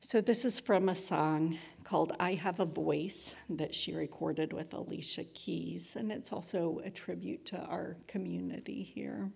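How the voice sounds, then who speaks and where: A woman giving an inspirational talk to her community in a measured, reflective, earnest manner, with a husky, creaky voice. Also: on the low side, steady tone, a little mellow.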